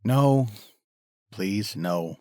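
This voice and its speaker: A man's voice: on the low side, expressive, drawn-out words, warm tone, dry recording, clean studio sound. An actor playing a nervous, grumbling man in his late thirties, a skittish and sardonic sidekick in an audio drama. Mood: giving in. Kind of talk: audio drama dialogue acting.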